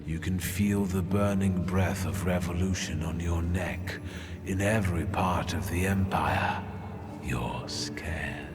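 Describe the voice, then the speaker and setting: An actor playing a resolute, menacing mystic who leads rebels in a video game, speaking in a commanding, deliberate manner. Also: male voice, deep voice. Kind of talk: dramatic game dialogue. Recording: long hall reverb, loud music.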